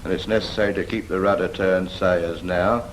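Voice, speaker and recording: A man playing a patient, brisk Royal Navy instructor in a 1940s British training film, firm and fond of prompting with questions. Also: low voice, fairly even intonation, lightly acted. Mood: instructive. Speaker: naval steering instructor. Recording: noisy 1940s film soundtrack.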